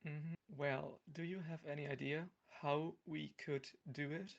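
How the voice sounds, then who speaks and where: A woman's voice: sits low, steady tone, a little slow, gravelly, lo-fi sound. A woman in a casual improvised comic role-play, speaking in a mild, hesitant way.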